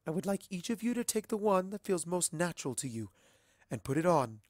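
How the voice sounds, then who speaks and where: Someone voicing a regal, measured pony princess. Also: mid-range voice, very animated, dry recording, clean studio sound, voice pushed up, close to an everyday voice. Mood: reassuring. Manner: formal, measured, authoritative.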